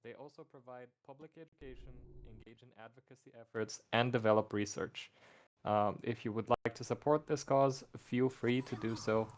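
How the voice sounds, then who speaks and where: A man hosting a charity marathon stream, reading an announcement in a steady, read-aloud way. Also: mid-range voice, moderate pace, slight background noise. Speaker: marathon host. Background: loud game music.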